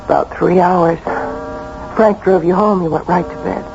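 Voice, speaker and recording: An actress in an old-time radio drama playing a protective, anxious wife, polite and solicitous in a theatrical way. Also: female voice, fairly low pitch, moderate pace, creaky, background noise. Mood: soothing. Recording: dull old radio broadcast with music.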